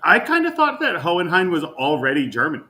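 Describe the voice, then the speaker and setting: A man co-hosting a casual podcast, laid-back and quick to laugh. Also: fairly high pitch, huge pitch swings, pushed and bright. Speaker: podcast co-host.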